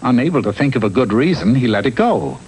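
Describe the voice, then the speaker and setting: A man narrating a cartoon fairy tale in a measured, theatrical, tongue-in-cheek storybook style. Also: mid-range voice, sing-song, darkish tone, lightly acted. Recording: noisy old TV cartoon soundtrack.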